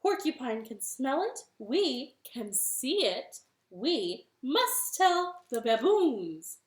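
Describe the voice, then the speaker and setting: Zebra herd, lady reading, loud n a bit high, echoey room. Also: sing-song, slow, exaggerated voice. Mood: eager. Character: herd of zebras passing news.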